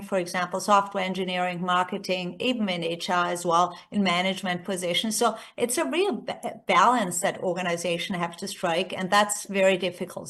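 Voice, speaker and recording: Casting: woman, Austrian accent, native German speaker, medium pitch, conference keynote presenter. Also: fairly bright tone. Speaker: keynote speaker, research executive. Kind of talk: conference presentation, semi-scripted. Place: remote presentation by screen share.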